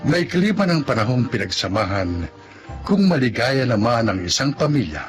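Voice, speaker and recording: A man delivers dramatic radio drama narration as announcer. His mid-pitched voice is very lively, with clear music behind it. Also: normal speed.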